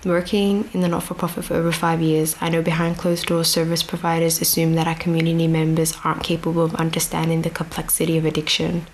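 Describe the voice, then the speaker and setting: Young woman, low even delivery, reflective storyteller with dry asides, faint outdoor hiss behind. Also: quick pace, hardly any pauses, rough recording. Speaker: speaker addressing an outdoor audience. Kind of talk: prepared storytelling speech. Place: outdoor venue with grass and a stage.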